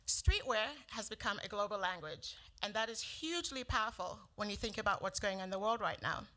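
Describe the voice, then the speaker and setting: A middle-aged woman from South Africa whose first language is Sepedi, delivering a prepared startup pitch in a measured, earnest way. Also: animated, pushed and bright.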